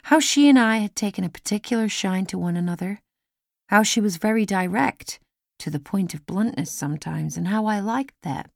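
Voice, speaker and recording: A woman's voice: medium pitch, animated, moderate pace, gravelly, no echo, studio quality. A woman reading a first-person children's story as an audiobook narrator.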